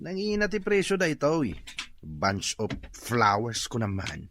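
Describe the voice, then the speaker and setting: Excitable, bright-voiced husband and fish vendor. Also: male voice, mid-range voice, measured pace, slight background noise, full character voice. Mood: sweet, then sulky. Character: excitable hard-pressed husband Berto, a tilapia fish vendor. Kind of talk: comic radio drama acting in Ilocano.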